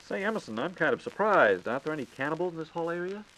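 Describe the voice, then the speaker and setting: A man's voice: on the high side, big pitch swings, very fast, fairly bright tone, rough recording. An American man hosting a scripted 1940s radio program, with a conversational, folksy way of asking and announcing. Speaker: radio show host. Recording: 1940s radio broadcast recording.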